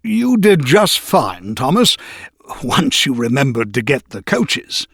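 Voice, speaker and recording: A man playing a kindly, authoritative older railway boss, measured and instructive, in a children's storybook. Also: medium pitch, big pitch swings, creaky, a bit bright, slightly rough, no room sound, studio quality, heavily acted. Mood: amused approval.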